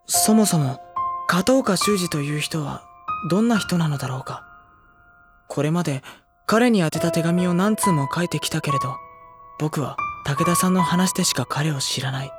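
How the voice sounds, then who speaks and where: An actor playing a gentle, worrying high-school boy in a Japanese audio drama, soft-spoken and polite. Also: normal speed, music in the background, natural voice. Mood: pensive. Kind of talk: Japanese audio drama acting with introspective narration.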